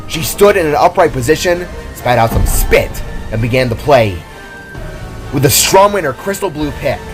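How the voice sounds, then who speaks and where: A man reading scripted adventure fiction as the narrator, in a dramatic storytelling style. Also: mid-range voice. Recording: background music under the voice. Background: music.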